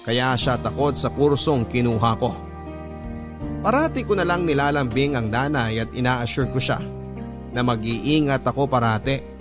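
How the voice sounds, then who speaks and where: An actor narrating in the first person as a sincere young pilot, with a Filipino accent, in scripted radio-drama style. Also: male voice, medium pitch, natural voice. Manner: earnest, sincere. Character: earnest young male pilot telling his life story.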